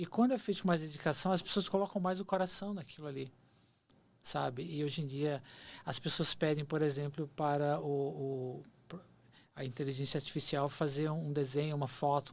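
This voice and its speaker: A man's voice: on the high side, creaky. A man in his forties, a podcast guest, telling stories in an earnest, nostalgic way, often repeating words and restarting as he speaks. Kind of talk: reflective storytelling.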